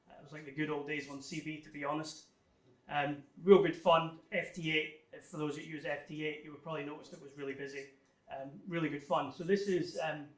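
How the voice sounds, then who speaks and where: A man's voice: a little high, fairly bright tone. A man giving an informal technical slide presentation to a club, explaining things in a conversational, somewhat hesitant way. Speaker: amateur radio club guest speaker. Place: indoor room, club talk to an audience. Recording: echoey room, distant microphone.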